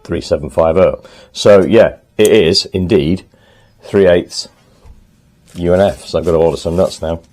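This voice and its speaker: A man talking casually to the camera in his home workshop, thinking aloud as he works, a little hoarse. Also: deep voice, unhurried, a little mellow. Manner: chatty, thinks aloud while working, frequent ums. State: slightly hoarse, clearing throat. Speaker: motorcycle restorer and channel host.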